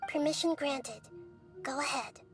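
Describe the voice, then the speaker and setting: A woman voicing a small, wise girl deity in a video game, calm, measured and earnest. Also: high-pitched. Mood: formal, calm. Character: small, wise, gentle young girl deity guiding mortals. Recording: background music under the voice.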